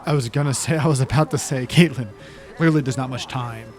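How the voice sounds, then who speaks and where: A man's voice: medium pitch, a little fast, darkish tone, faint music behind. A man playing a tabletop game, improvising conversationally and searching for words. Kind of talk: conversational in-character improvised roleplay.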